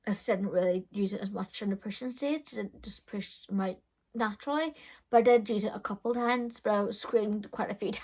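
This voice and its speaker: A young woman telling a personal story in a frank, chatty vlog style, with a loud voice and many fillers. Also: mid-range voice. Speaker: beauty vlogger. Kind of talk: casual storytelling.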